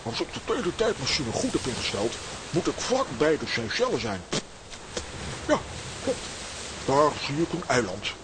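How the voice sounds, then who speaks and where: A man's voice: a little high, huge pitch swings, normal speed, long pauses. An actor playing a vain, easily offended comic man in a children's radio play, indignant and theatrical. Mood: apprehensive. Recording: clearly noisy recording with clicks.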